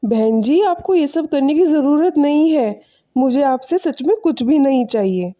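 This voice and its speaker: A woman voicing a humble, selfless poor village man in an animated moral story, speaking politely and earnestly. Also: high voice, measured pace. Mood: humble, modest.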